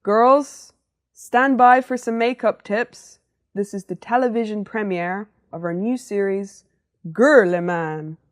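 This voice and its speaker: A woman presents a casual show at an unhurried pace. Her voice sits at a medium pitch. She sounds enthusiastic and a little gushing as she hosts. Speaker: TV show host and interviewer.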